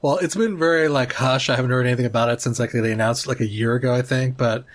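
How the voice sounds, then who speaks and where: A man's voice: medium pitch, fairly even intonation, fast talker. A man chatting casually in a group as co-host of a gaming podcast.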